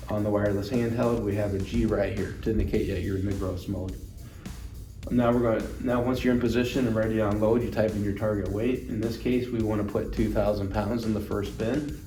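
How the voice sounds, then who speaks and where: A man's voice: on the low side, fairly even intonation, fairly quick, mellow. A man giving an instructional product demonstration, explaining things plainly and step by step. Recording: roomy echo, distant mic, music underneath.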